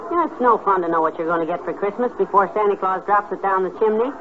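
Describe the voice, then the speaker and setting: An actress playing a sensible, good-humored wife in a 1950s radio comedy, with a homey, gently chiding manner. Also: female voice, mid-range voice, fast talker, crowd noise, close to an everyday voice. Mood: brisk, chiding. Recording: muffled, noisy 1950s radio recording.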